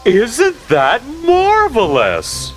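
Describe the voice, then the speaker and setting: A woman's voice: on the high side, wildly swooping pitch, very slow, exaggerated voice. A woman voicing a courteous, easily impressed king in a theatrical, stately storybook manner. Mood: pleased curiosity. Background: faint music.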